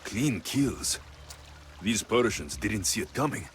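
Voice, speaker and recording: An actor playing a tough, wry young mercenary warrior in a video game cutscene. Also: male voice, fairly low pitch, lively intonation, slow, noisy background. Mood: grim, pondering. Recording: music behind the voice.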